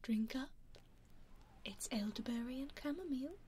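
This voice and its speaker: A woman with a British accent voicing a teasing aristocratic female vampire in an ASMR roleplay, whispering softly and slyly into a binaural mic. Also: sits high, animated, normal speed, a little hiss or hum. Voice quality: soft whisper, sly and mocking.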